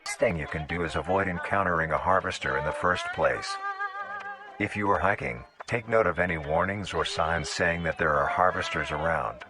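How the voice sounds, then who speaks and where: A man reads scripted documentary narration in a measured, instructional way, like the narrator of a nature film. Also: sits low. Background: background music.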